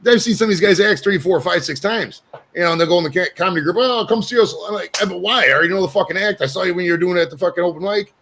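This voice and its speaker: Fast-talking brash man hosting a podcast. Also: sits high, a bit bright. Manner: brash, rambling, swears freely. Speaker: podcast host. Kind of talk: casual chat. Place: home studio.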